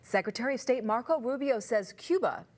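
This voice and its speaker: A woman's voice: a little high, expressive, brisk, a little mellow. A woman with an American accent reading scripted broadcast news as an anchor.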